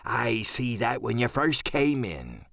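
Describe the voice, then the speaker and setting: A man's voice: medium pitch, sing-song, slow pace, creaky, a bit bright, no echo, clean studio sound, full character voice. A man voicing a hearty, cunning old pirate sea cook in an audiobook, talking in folksy, salty seafaring slang. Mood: earnest, aggrieved. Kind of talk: audiobook radio-play character acting.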